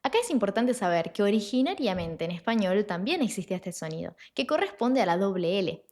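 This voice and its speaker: A woman teaches pronunciation in an online lesson. Her voice sits at an ordinary middle pitch. She explains clearly and patiently, and slows down deliberately whenever she models a sound. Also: big pitch swings, darkish tone. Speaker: Italian language teacher.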